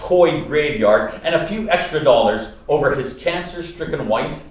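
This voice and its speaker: An actor playing a warm-hearted, exasperated nephew in a radio play, speaking in an earnest, wry, articulate way. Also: male voice, fairly high pitch, lively intonation, moderate pace, lightly acted. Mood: earnest, hurt. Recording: echoey room, distant mic.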